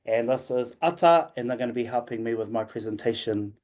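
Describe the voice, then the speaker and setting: A man introduces himself over a video call, his voice sitting in an ordinary middle male pitch. He speaks in a relaxed, informal way. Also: a little fast. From New Zealand. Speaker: webinar speaker.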